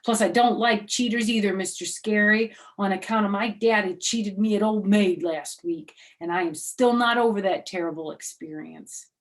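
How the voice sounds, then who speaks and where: A small, dramatic schoolgirl from a children's storybook, put on by a woman reader. She speaks high and emphatically, with childish grammar and a whiny, defensive edge. She is cheeky and very excitable. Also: normal speed, few pauses, close to an everyday voice. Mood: indignant, confiding.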